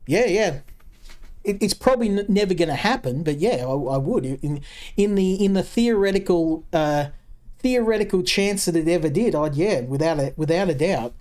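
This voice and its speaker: A man with a broad Australian accent narrates his game as he plays. His voice sits a little high for a man, and he rambles and circles back over words while thinking aloud. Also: expressive, moderate pace, a little mellow. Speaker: game streamer. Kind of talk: casual gameplay commentary.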